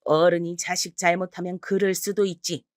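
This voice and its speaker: A woman voicing an older, domineering mother-in-law who scolds in a slow, contemptuous way. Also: on the low side, little pitch movement, no room sound, clean studio sound, natural voice. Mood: scolding.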